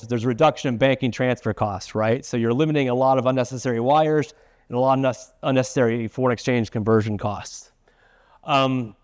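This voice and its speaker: A man's voice: mid-range voice, a little mellow, dry recording. A man with a mid-range voice presents business material. He explains points in a conversational way, checking in as he goes. The sound is dry, with very little room in it. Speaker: webinar presenter.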